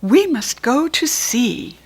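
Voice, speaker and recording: A woman voicing an earnest toy fairy, brighter and higher. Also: sing-song, slow pace, exaggerated voice. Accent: American. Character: brave young girl fairy, gentle and determined.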